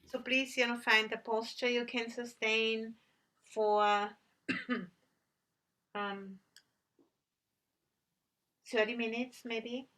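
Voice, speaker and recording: A woman teaching a guided meditation, giving gentle instructions with long pauses between phrases. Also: on the high side, level tone, poor audio.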